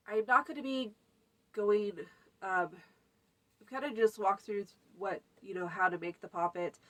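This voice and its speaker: A woman with a blocked, stuffy nose talks viewers through a homemade craft in a loose, conversational way. She ambles from item to item with frequent ums and uhs. Her voice sits at an ordinary middle pitch. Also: fairly bright tone. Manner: chatty, many fillers, lists items. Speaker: folk magic channel host. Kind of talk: casual spoken tutorial.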